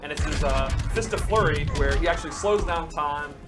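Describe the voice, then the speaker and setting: A man's voice: a little high, brisk, over loud music. A man casually explaining fighting game moves in an instructive, enthusiastic way.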